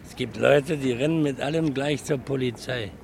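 A gravelly man's voice drawls slowly over clear street noise. The figure is a sardonic, scornful bystander. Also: mid-range voice, a little mellow. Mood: mocking. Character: scornful, sardonic male bystander at snack stand. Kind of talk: German radio play acting.

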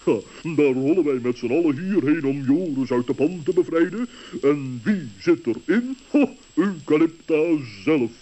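Pompous, muffled storybook owl. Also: male voice, fairly high pitch, lively intonation, normal speed, dark tone. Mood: astonished, huffy. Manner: pompous, wordy. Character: Oehoeboeroe, pompous wordy male owl. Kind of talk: 1960s children's radio play acting. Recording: dull muffled old radio recording.

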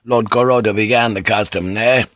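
An iron-grey samurai lord in his sixties, stiff and formal, as a male narrator plays him. The voice sits low and firm. The recording is dry and close. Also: fairly even intonation, moderate pace, a bit bright, dry recording, highs cut off, close to an everyday voice. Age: 60s. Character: stern older samurai lord Kiyama.

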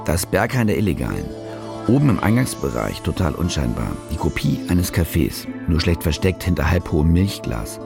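A man's voice: low-pitched, vocal fry, exaggerated voice. An actor playing a jaded male war reporter who confesses his fraud in sardonic, laconic radio drama narration. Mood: cynical. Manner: sardonic, laconic, crude slang. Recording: music behind the voice.

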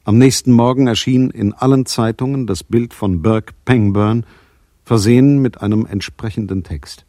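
An actor playing a dry, methodical detective in a German radio crime drama, speaking in a measured, matter-of-fact way. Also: male voice, mid-range voice, dark tone, no room sound, natural voice. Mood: sober. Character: dry, methodical male detective narrating his case. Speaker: private detective and narrator.

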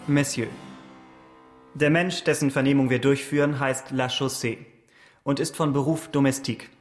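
A man's voice: medium pitch, fairly even intonation, moderate pace, faint music behind, lightly acted. A man playing a dogged, correct police investigator in a radio play, speaking precisely, formally and with cool insistence. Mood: formal, controlled. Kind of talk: German radio play acting.

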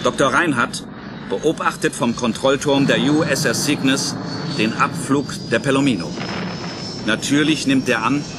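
A man's voice: mid-range voice, moderate pace, very noisy. A man narrating a radio play in a scripted, suspenseful style with theatrical emphasis.

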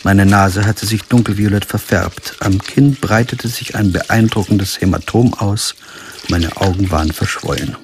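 Low-voiced, dry male lawyer narrator. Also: a little slow, background noise. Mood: wry, recounting. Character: wry, pragmatic lawyer narrating his own crime story. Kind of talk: scripted first-person narration and radio drama dialogue.

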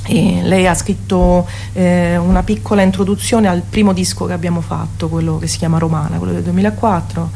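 A woman's voice: fairly low pitch, flat delivery, brisk, gravelly, hardly any pauses, dark tone, slight background noise. A woman, a musician, chatting casually in an interview and telling anecdotes with self-deprecating asides.

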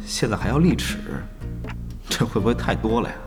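Male actor as young guild player, eager, ingratiating, exasperated haggler; lively pitch, reverberant audio-drama mix with music. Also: brisk, lightly acted. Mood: haggling. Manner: ingratiating, animated, with muttered exasperated asides. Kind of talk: Mandarin audio drama acting.

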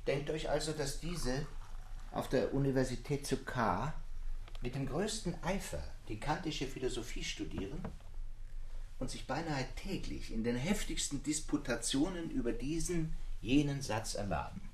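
An actor playing a brooding, fervent literary storyteller in a radio drama, speaking deliberately in old-fashioned literary phrasing. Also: male voice, mid-range voice, lively intonation, slight background noise, close to an everyday voice. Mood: animated storytelling.

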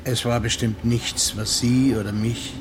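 An actor playing a worldly, reflective seafaring gentleman who narrates and talks in a measured way in a radio play. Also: mid-range voice, little pitch movement, background noise, close to an everyday voice.

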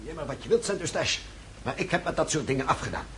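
An actor playing a hot-tempered French count who blusters and sputters theatrically in a period radio drama, with a gravelly, raspy voice. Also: male voice, a little high, big pitch swings, quick pace, background noise. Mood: bitter, dismissive. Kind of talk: radio drama acting in period costume piece.

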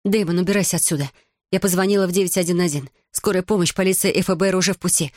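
A narrator voicing a brisk, commanding woman ally who speaks urgently in a thriller audiobook. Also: medium pitch, no echo, studio quality, close to an everyday voice.

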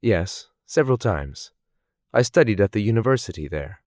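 Man reading textbook dialogues, lively intonation. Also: fairly low pitch, no echo, clean studio sound. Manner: slow, clearly articulated, measured delivery. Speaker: language course voice actor.

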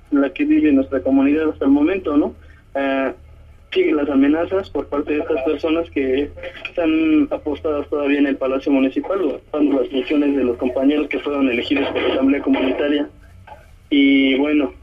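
Mexican man on a muffled phone line. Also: mid-range voice. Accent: southern Mexican. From Oaxaca, Mexico. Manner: halting, many tag questions. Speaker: detained community activist giving a statement. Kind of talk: spoken testimony by phone to a press conference. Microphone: telephone. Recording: muffled, narrow-band telephone line.

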